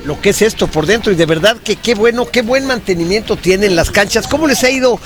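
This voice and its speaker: Man, Mexican accent, high-pitched, sports show host, polite, conversational live interviewing. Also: animated, fast talker.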